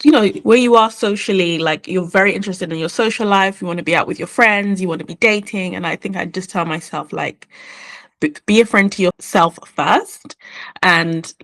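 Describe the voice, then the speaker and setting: Woman, guest, lowish voice, unhurried and reflective, restarts often. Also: fairly bright tone. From born in the UK, raised in Ireland and England. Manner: thoughtful, unhurried, with frequent restarts. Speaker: guest, cartoonist. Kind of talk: reflective conversational interview.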